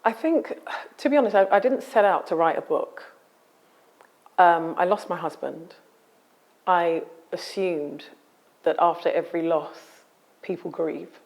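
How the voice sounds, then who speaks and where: Woman author, lowish voice, serious and measured, very articulate. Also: a little mellow. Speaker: author and guest. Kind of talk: reading a memoir aloud, then interview talk.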